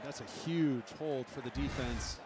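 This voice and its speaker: A man's voice: mid-range voice, fairly even intonation, moderate pace, a crowd in the background. Male football analyst over noisy broadcast audio. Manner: explanatory, uses coaching jargon. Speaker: colour analyst.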